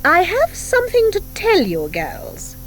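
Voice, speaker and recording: A formidable witch schoolmistress, acted by a woman narrator, high and sweeping in pitch. She is crisp, precise and brisk, giving orders with piercing authority in a storybook style. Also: wildly swooping pitch, a bit bright, soft background music, exaggerated voice. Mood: formal, measured. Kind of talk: audiobook character acting. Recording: 1984 audiobook from cassette.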